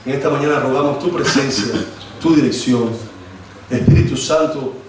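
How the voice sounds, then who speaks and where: A man's voice: mid-range voice, moderate pace, noisy background. A man in his forties with a Cuban accent preaching a sermon and praying, talking in a hesitant, informal way with many restarts. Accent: Cuban Spanish. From Cuba. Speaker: lay preacher. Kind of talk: sermon with prayer. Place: church hall. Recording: echoey church hall, distant mic.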